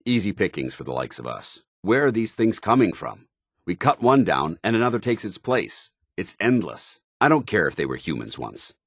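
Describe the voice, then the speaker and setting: An actor playing a stern, zealous soldier companion in a video game, with an American accent and a formal, earnest military delivery. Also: male voice, low-pitched, big pitch swings, moderate pace, no echo, studio quality, heavily acted. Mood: disgusted.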